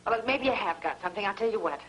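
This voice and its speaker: An actress playing a brisk, suspicious young woman with an American accent, in 1950s B-movie style. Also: mid-range voice, expressive, a bit bright, lightly acted, poor audio. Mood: brisk determined.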